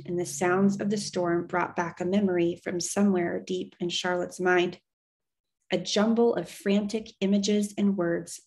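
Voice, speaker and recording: Slow, creaky woman reading a story. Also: on the low side, a little mellow. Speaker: teacher reading aloud. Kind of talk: storybook narration, expressive read-aloud.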